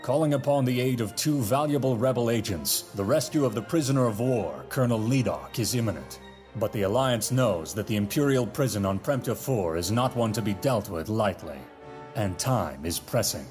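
A man's voice: mid-range voice, lively intonation, moderate pace, a little mellow. Male drama announcer over film music. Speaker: narrator and announcer. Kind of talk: dramatic scripted narration and credits reading. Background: orchestral film music.

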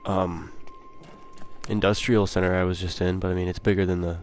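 Young guy w a low voice rambling thru a game, reads notes out loud. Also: level tone, brisk, slight background noise. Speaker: gamer playing a horror-adventure game. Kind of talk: casual let's play commentary.

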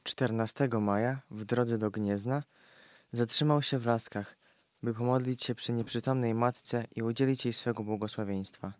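A man's voice: medium pitch, fairly even intonation, normal speed, no echo. Male narrator, dry close sound. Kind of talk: scripted documentary narration for an audio play.

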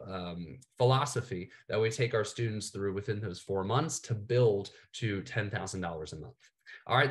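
A man in his thirties with a cold, presenting slides in a casual way, saying "all right" and "uh" a lot. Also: lowish voice. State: has a cold, slightly nasal, coughing. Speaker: business coach presenting to coaches. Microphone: webcam, screen-share video call.

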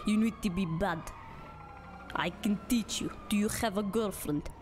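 A woman's voice: mid-range voice, moderate pace, background noise, lightly acted. A young woman streamer voicing a brash, scheming woman hustler who talks in blunt, broken English, recorded on a desk mic with a headset in a small home room. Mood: bossy. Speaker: roleplay streamer. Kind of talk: live game roleplay. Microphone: desk microphone with headset.

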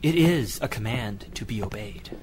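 An actor playing a devout, burdened missionary preacher in an audio drama, grave and fervent in manner. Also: male voice, lowish voice, lively intonation, moderate pace, a little mellow, a little hiss or hum, lightly acted. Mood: grave, resolute.

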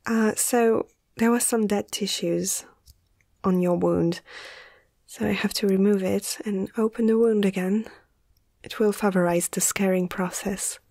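A young woman playing a caring, slightly awestruck vet in a soft-spoken ASMR roleplay, gentle and hesitant, often slipping into a breathy half-whisper. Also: mid-range voice, steady tone, measured pace, dry recording, clean studio sound. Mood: calm, curious. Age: young adult.

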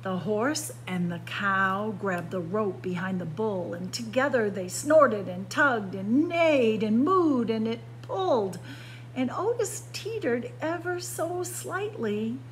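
A woman reading a children's picture book aloud as a story time narrator, with expressive, dramatic phrasing. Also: slow pace, low-quality recording. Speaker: library story time reader. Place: indoors by bookshelves. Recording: clear background noise with low hum.

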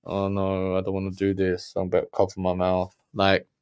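A man's voice: deep voice, completely flat delivery, moderate pace, a little mellow, clean tone. A man in his thirties giving blunt, heated reaction commentary with lots of stammered restarts, recorded on a studio mic in a small room. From Germany. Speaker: podcast host reacting to a documentary. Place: small room with purple lighting. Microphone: studio mic on boom, earphones.

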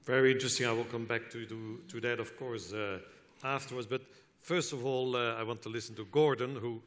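A man's voice: medium pitch, fairly even intonation, a little fast, fairly bright tone. A man moderating a conference panel, politely introducing speakers and asking questions. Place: large conference hall. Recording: long hall reverb.

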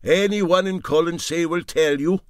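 A man voicing an indignant old Highland boat skipper telling tall tales emphatically and drolly in a Scottish accent. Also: moderate pace, no echo, clean studio sound, lightly acted. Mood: droll, emphatic.

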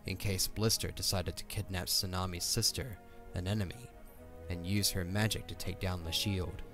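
A man's voice: low-pitched, steady tone, normal speed. A man reading scripted narration for a fantasy audio drama in storybook style. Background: faint music.

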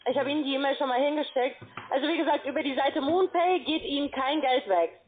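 A woman working as a call centre agent, talking a customer through steps on the phone in a halting, flustered way. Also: high-pitched. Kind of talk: phone sales support call. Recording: narrow, tinny phone line.

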